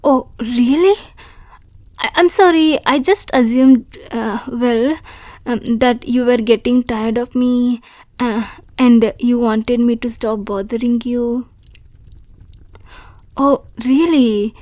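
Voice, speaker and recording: A bashful young wolf creature, played by a woman, talks slowly and gently in a raised, mellow voice. She is polite and hesitant and often stumbles over her words. The sound is close and dry. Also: on the high side, no room sound. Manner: soft, polite and hesitant, with stammers. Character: shy grateful young female wolf creature. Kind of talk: audio roleplay.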